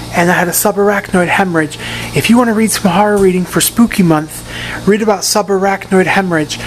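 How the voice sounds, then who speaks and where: A man with a hoarse, raspy voice giving a casual video update from his room in a rehab facility, often repeating and restarting his words. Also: high voice, slight background noise, poor audio. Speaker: patient giving a recovery update.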